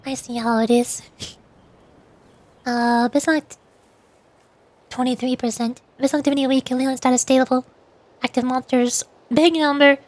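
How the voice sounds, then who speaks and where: A woman's voice: high-pitched, fairly even intonation, a little slow, pauses often. A woman doing casual livestream game commentary, playfully muttering to herself, close on the mic. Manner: playful, mumbles aloud to herself. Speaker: VTuber game streamer. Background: game music.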